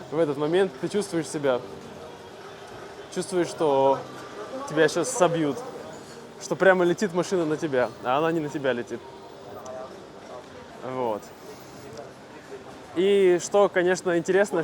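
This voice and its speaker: A man from Russia vlogging casually to camera, speaking simply and clearly for language learners with easy fillers. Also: on the high side. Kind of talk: casual vlog talk to camera.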